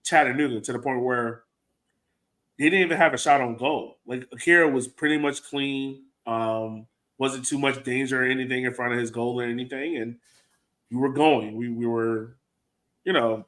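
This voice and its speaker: A man's voice: mid-range voice, steady tone, moderate pace, fairly bright tone. An American man co-hosting a soccer podcast, thinking aloud in an informal, conversational way with plenty of "like". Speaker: podcast co-host and soccer supporter. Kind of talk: casual sports chat.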